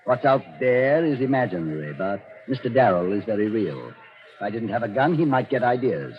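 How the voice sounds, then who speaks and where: A man's voice: medium pitch, big pitch swings, brisk, natural voice. An actor playing an arrogant, ruthless Nazi colonel with fear under his pomp, in a 1940s radio drama style on an old recording. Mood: cold, commanding. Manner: pompous, commanding, theatrical. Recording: dull 1940s radio recording, faint noise.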